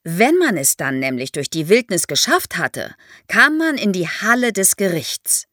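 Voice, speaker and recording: Female expert guest for an explainer podcast, lively intonation, vivid storytelling manner, very dry studio sound. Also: lowish voice, measured pace, no room sound. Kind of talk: explanatory podcast interview for young listeners.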